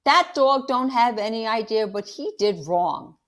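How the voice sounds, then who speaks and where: A woman reading aloud and voicing a tired, stern but gentle working father, who talks slowly and calmly. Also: a little high, pitched above the performer's own voice. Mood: weary, serious.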